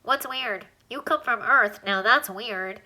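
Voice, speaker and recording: Woman doing a squeaky tiny alien guy. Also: pushed and bright, full character voice. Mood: wisecracking. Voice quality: high and squeaky. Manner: fast-talking, wisecracking. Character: squeaky tiny male alien, chatty and brash.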